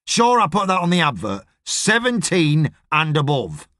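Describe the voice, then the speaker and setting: A man acts out a shady middle-aged boss from an audiobook. He is gruff and blunt, barking irritably in a raised, animated voice with a very dry, close sound. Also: on the high side, measured pace, dry recording, heavily acted. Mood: suspicious. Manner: blunt, sweary, shouty. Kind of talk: audiobook character voice.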